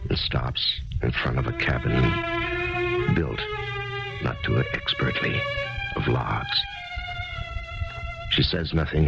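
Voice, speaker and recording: A man's voice: a bass voice, creaky, full character voice. An actor playing a grieving, failed author who narrates his own story in a 1970s radio drama, hesitant and introspective. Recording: dull lo-fi 1970s radio broadcast with music.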